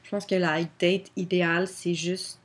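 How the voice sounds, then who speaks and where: A woman's voice: lowish voice, little pitch movement, normal speed. Chatty Québécois young woman on webcam. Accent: Québécois French. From Quebec. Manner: chatty, many fillers of "genre" and "comme". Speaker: vlogger. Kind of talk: casual webcam chat, answering a tag.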